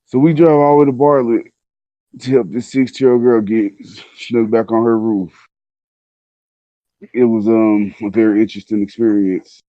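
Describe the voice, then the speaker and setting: Low-voiced man, slangy storyteller. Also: fairly even intonation, moderate pace, long pauses, dark tone, dry recording, highs cut off. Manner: laid-back, conversational, acts out quoted dialogue. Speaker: podcast guest telling a personal story.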